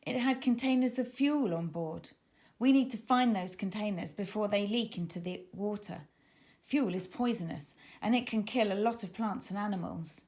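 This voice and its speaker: A woman reading the part of a calm, reassuring boy marine biologist in a children's storybook, explaining patiently. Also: medium pitch, moderate pace, close to an everyday voice. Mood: matter-of-fact.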